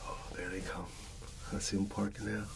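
A middle-aged man doing a casual ASMR barber roleplay, playing an easygoing uncle in a soft, breathy, often whispered voice and pausing for unheard replies. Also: deep voice, little pitch movement, noisy background. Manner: unhurried, familiar and colloquial, with pauses for unheard replies. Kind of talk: ASMR roleplay, casual one-sided chat.

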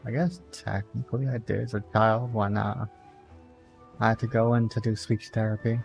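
A soft-spoken, quiet man who is a little under the weather, chatting casually with viewers while streaming a game. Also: level tone, normal speed, creaky, faint music behind. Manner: low-key, chatting with viewers between play. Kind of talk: casual gameplay stream chat.

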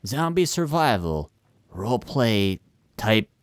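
A man hosting a gaming video, giving excitable, urgent callouts and casual roleplay commentary as he plays with others. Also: fairly low pitch, slow pace, clean tone, dry recording.

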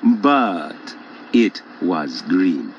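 A man's voice: low voice, sing-song, extremely slow, lo-fi sound, slight background noise, full character voice. A man playing a courteous but stubborn village friend with flowery speech in a children's folk tale. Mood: politely stubborn.

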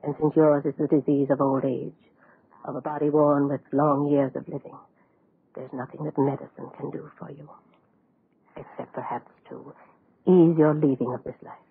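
Gravelly, anguished woman doctor, old radio. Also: low voice, flat delivery, exaggerated voice. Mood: solemn, confiding. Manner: halting, solemn, dramatic. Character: anguished woman doctor, a scientist afraid of her own experiment. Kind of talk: 1930s radio horror acting. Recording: scratchy, phone-like 1930s radio recording.

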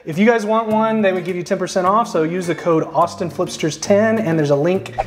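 A man's voice: high-pitched, fairly quick. A man in his thirties co-hosting a house-flip home tour, chatting casually with an upbeat, joking manner, on a lapel mic in an empty renovated house. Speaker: house flipper co-host. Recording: echoey empty rooms, faint music.

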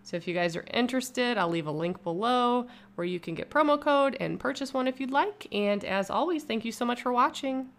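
A woman's voice: fairly high pitch, lively intonation, brisk, few pauses. A young woman vlogger doing a casual, upbeat voice-over review of a bath product from home. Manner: upbeat, chatty and enthusiastic. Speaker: YouTube vlogger.

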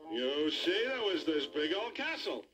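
Man acting young cartoon boy, high voice, flippant, excitable, lively swings, music behind. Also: measured pace. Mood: sheepish. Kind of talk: comic cartoon storybook dialogue.

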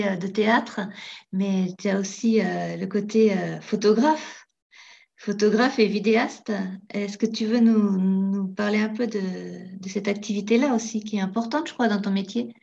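A woman with a gravelly edge to her voice hosts a podcast interview. She asks her guest questions, pausing now and then to find her words. The recording sounds dull and lo-fi. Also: mid-range voice, fairly even intonation, a little mellow. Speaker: podcast host.

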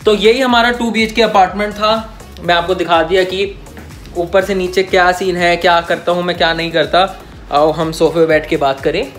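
A man's voice: high-pitched, steady tone, moderate pace, a bit bright, faint music behind. A man in his twenties giving a casual, jokey tour of his small apartment, talking into a phone held at arm's length and mixing English into his Hindi. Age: 20s. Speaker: vlogger. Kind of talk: casual home-tour vlog.

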